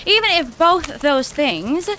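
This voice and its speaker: Brisk young adventuress, wry, practical, bright forceful tone, lively swings, radio drama style. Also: female voice, high voice, a little hiss or hum, full character voice. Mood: brisk, reassuring. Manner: no-nonsense, wry, commanding. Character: brisk, plucky young woman, exasperated and practical. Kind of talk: old-time radio adventure drama acting.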